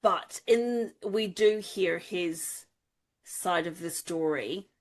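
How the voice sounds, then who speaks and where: A woman's voice: fairly low pitch, slow pace. A woman co-hosting a casual book chat, talking in a wry, jokey way. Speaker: book club podcast co-host.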